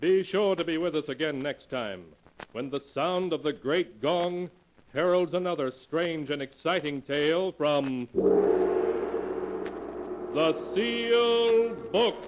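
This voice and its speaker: A man narrating and announcing an old radio mystery show in a measured, dramatic manner. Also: on the high side, low-quality recording.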